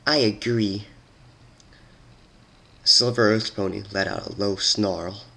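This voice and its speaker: A man's voice: on the low side, steady tone, normal speed, gravelly, sharp tone. A man giving a dramatic, scripted reading of a story as its narrator.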